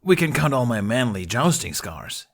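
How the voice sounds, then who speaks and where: A man's voice: mid-range voice, big pitch swings, moderate pace, creaky, fairly bright tone, exaggerated voice. A man voicing a dim, proud and reckless young man in a storybook, mock-heroic and earnest.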